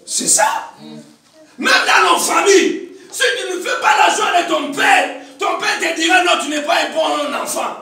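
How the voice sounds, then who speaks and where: Shouting Congolese preacher in an echoey hall. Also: male voice, very high voice, unhurried, vocal fry, some chatter behind. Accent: Congolese French. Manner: shouting, call-and-response with congregation. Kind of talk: sermon. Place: church hall. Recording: echoey hall from far off with music.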